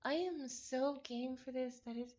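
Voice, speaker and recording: A woman livestreaming a visual novel, chatting casually and reading game text aloud in an excitable, halting way, often repeating phrases. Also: high voice. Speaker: streamer playing a visual novel. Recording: dull lo-fi stream audio.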